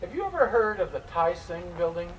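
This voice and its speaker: A man's voice: sits high, big pitch swings, brisk. A man playing a stern, suspicious immigration interrogator of the 1910s, formal and probing, in a dramatic reading. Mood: suspicious, curt. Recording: distant microphone in noisy live room.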